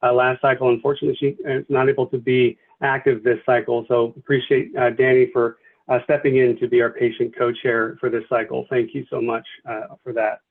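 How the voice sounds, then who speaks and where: A man's voice: medium pitch, level tone, fairly quick. A man facilitating a virtual committee meeting, thanking people and walking through the agenda with many fillers and restarts. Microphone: video call. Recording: tinny phone-like video call audio.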